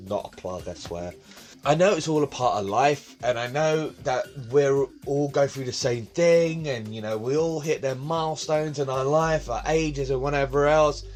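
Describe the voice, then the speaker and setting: A man's voice: medium pitch, normal speed, soft background music. A man in his late twenties, hosting his own vlog in casual, rambling chat, recorded on a phone in his bedroom. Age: 20s. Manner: rambling, chatty, repeats himself. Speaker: vlog host. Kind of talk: casual talking-to-camera vlog. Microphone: phone camera on tripod.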